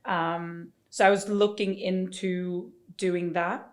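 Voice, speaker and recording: A woman's voice: on the low side, flat delivery, slow pace. A woman from Sweden chatting casually as a podcast guest and fitness coach. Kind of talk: casual chat.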